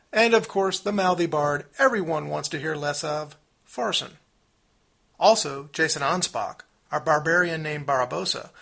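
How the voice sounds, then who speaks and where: A man's voice: a little high, creaky, fairly bright tone. A middle-aged man hosting a podcast, delivering an upbeat intro and reading character backstories in a theatrical way. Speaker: podcast host.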